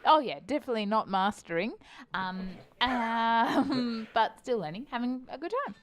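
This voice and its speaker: A woman's voice: on the high side, moderate pace, a crowd in the background. An Australian woman, a band musician, answering interview questions in a dry, joking way. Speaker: musician guest.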